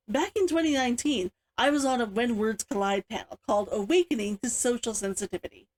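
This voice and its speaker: A woman with a high voice that moves up and down in lively swings. She is expressive and chirpy, talking as the author and host of a read-along. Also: brisk. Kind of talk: animated chat and story read-aloud.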